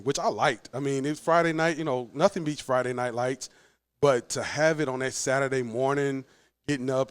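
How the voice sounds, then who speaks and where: A man's voice: medium pitch, no echo. Laughing storyteller, a coach in his forties. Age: 40s. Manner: animated storyteller, laughs often. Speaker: head football coach. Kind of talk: casual podcast interview.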